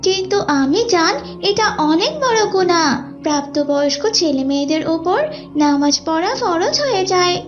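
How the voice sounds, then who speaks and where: A woman's voice: very high-pitched, animated, normal speed, faint music behind. A woman playing an earnest, polite young daughter who preaches piously in a moral cartoon. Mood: earnest, complaining. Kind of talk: dubbed Islamic moral cartoon dialogue. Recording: dull, lo-fi sound.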